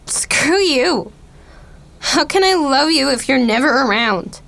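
A young niece in a radio play, guarded and hurt but caring. Her voice sits fairly high and she speaks bluntly, with reluctance. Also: expressive, takes it slowly, creaky, fairly bright tone, exaggerated voice. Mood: hurt, angry.

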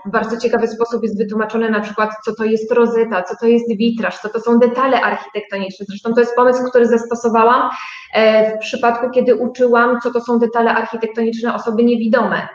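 The recording is noticeably echoey and a little dull. In it a woman with a fairly high voice explains things conversationally, as a guest in an interview. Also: level tone, a little fast. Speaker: interview guest, business owner. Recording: dull, roomy, echoing sound.

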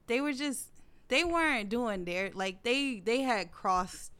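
A young adult woman with a soft voice, co-hosting a casual podcast chat in a relaxed way with plenty of fillers. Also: on the high side, slow pace, clear, resonant tone. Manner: relaxed, frequent laughs and fillers. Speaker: podcast co-host.

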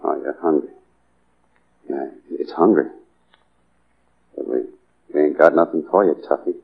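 A man's voice: rumbling low, measured pace, creaky, slightly rough, heavily acted. A man acting a cold, bitter hired gunman on the run in a 1940s radio drama, with terse, tough street talk. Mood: softened. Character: hunted hired gunman, cold, bitter hard-boiled loner. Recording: muffled old radio broadcast.

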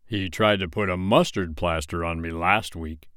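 A man's voice: deep voice, steady tone, moderate pace, a bit bright, smooth, no room sound, clean studio sound. A man voicing a blunt, grumbling male plow horse in a children's storybook, matter-of-fact and sure of his own patience.

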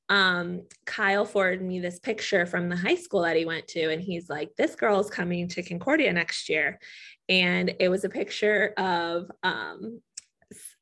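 Woman host in her thirties, bright with some vocal fry, lively storyteller. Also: fairly low pitch. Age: 30s. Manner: animated storyteller, acts out quotes. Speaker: podcast co-host. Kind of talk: casual chat.

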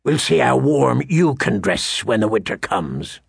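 A man's voice: medium pitch, very animated, a little slow, vocal fry, husky, no room sound, clean studio sound, heavily acted. A man voicing a sullen, grizzled old man-at-arms, grim and plainspoken, in an audiobook fantasy tale. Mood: angry.

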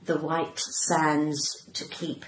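A woman's voice: deep voice, flat delivery, extremely slow. An older woman with an upper-class English accent tells personal anecdotes in conversation as an interview guest, theatrically acting out remembered dialogue. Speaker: interview guest, comedian and actress. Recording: roomy echo with faint background noise.

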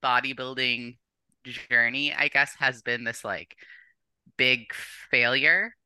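Very bright, forceful young North American woman. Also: very deep voice, fairly even intonation, slow pace, smooth, no room sound. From born or raised in Canada, later the United States. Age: young adult. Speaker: podcast guest, competitive bodybuilder. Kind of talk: casual chat, personal storytelling.

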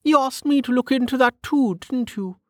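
A woman's voice: sits high, normal speed, mellow, no echo, studio quality, pitched above the performer's own voice, natural voice. A woman voicing a prim, snobbish noblewoman in an audiobook, with a testy, proper manner. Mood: casual, prying. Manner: haughty, sniffing, proper. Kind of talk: audiobook character acting.

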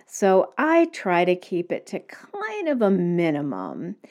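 A woman's voice: fairly low pitch, huge pitch swings, takes it slowly, a little mellow. A woman hosting a solo podcast, talking conversationally and recommending things to listeners. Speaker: podcast host.

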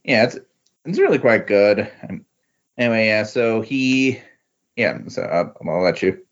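Low-voiced, halting man in casual conversation. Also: big pitch swings. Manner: hesitant, halting, trailing off. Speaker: podcast guest. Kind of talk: casual chat. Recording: dull, lo-fi sound.

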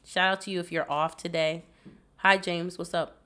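A woman's voice: lowish voice, flat delivery, normal speed, pushed and bright. A woman hosting a podcast in casual chat, a bit congested, rambling and giggly with lots of fillers. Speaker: podcast host.

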